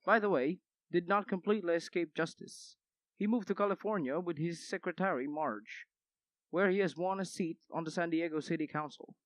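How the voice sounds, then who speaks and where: A man's voice: a little high, normal speed, fairly bright tone, dry recording, close to an everyday voice. A man playing a wry radio announcer, reading scripted closing narration in a formal, tongue-in-cheek way. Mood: wry, composed.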